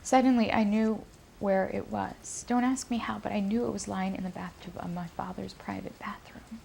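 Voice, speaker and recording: A woman's voice: mid-range voice, fairly even intonation, normal speed, gravelly, mellow, a little hiss or hum. A woman playing an anxious, guilt-ridden daughter who recalls family secrets in a hesitant, intimate dramatic monologue. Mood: uneasy, haunted.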